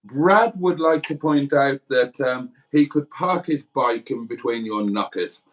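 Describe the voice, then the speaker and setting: A man's voice: mid-range voice, unhurried. A Welsh man from South Wales co-hosting a livestream, reading viewer comments aloud with playful, dry banter. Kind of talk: casual banter.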